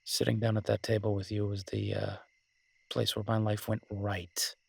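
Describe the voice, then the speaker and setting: Romantic audio roleplay: werewolf boyfriend voiced by a man, husky and lowish, teasing yet devoted, conversational, very dry sound. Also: little pitch movement, dry recording. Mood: loving. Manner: tender, teasing, halting conversational delivery. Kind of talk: romantic roleplay audio, intimate one-sided dialogue.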